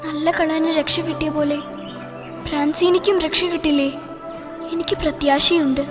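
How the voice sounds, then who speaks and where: A high, soft actress's voice as a pious teenage girl in a cartoon, with a Malayali accent. She speaks tenderly and earnestly, with deliberate phrasing, over clear music. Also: fairly even intonation, close to an everyday voice. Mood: earnest, pained. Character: devout, tender, earnest fourteen-year-old girl.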